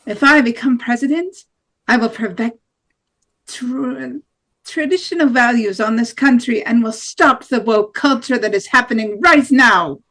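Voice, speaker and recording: A woman's voice: on the high side, unhurried, a bit bright. A woman playing a hardline nationalist female presidential candidate, declaiming a campaign speech from a script. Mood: strident, assertive. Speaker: actor in an amateur table read.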